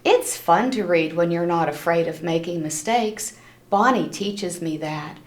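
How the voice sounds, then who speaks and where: A woman's voice: fairly low pitch, a little slow. Woman reading storybook in echoey room. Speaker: storybook reader. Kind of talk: children's read-aloud with asides to listeners.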